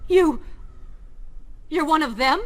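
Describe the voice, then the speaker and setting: A woman's voice: high-pitched, slow, fairly bright tone, slight background noise. A woman playing a proud, compassionate young heroine in a video game, speaking earnestly and reproachfully in formal phrases. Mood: accusing. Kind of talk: dramatic video game voice acting.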